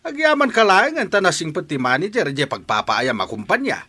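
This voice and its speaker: A man plays a scolding Filipino father in a radio-style drama. His voice is fairly high and very animated, with a forceful edge as he lectures and reproaches. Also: fairly quick, breathy edge, full character voice. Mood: stern, admonishing. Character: stern, scolding father of a hard-drinking grown son. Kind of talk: audio drama acting.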